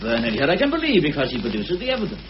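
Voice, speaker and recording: An actor playing a shrewd, sceptical police inspector in a radio murder mystery, questioning with probing, dry irony. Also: male voice, on the high side, wildly swooping pitch, very fast. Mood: sceptical, insistent. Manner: dryly ironic, probing questioning. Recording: muffled, lightly hissy old radio recording.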